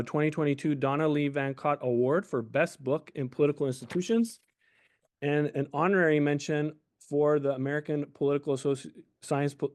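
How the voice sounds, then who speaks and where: A man's voice: medium pitch, a little mellow. A man hosting a workshop on a video call, reading a formal speaker introduction carefully, with small slips. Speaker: workshop host.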